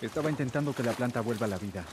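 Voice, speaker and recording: An actor playing a rugged, easygoing middle-aged man in a dubbed video game, relaxed and friendly. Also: medium pitch, rapid-fire, natural voice. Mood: calm. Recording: game audio with ambient noise.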